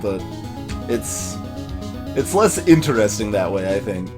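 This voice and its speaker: A man gives casual game commentary at an unhurried pace, thinking aloud and hesitating. His pitch swings around a lot, and faint music plays behind him. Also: big pitch swings. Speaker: let's play host.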